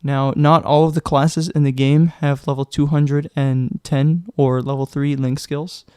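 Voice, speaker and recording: A man's voice: a little high, level tone, a little slow, mellow, smooth, dry recording. A young man narrating a casual game tutorial, chatty and joking, with loose fillers, a little sick. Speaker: gaming guide creator.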